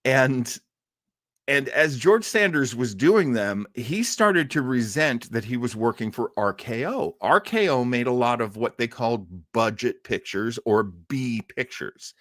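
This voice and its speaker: A man hosting a live listening show, telling stories in a casual, informative way with emphatic phrasing and dramatic pauses. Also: medium pitch, lively intonation, a bit bright, no echo, clean studio sound. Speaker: host of a live old-time radio listening show. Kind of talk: casual informative storytelling to an online audience.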